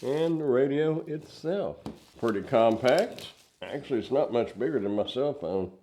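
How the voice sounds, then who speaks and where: A man's voice: medium pitch, expressive, normal speed, dark tone, a little hiss or hum. An older man giving a casual hands-on product review, explaining things step by step in plain words.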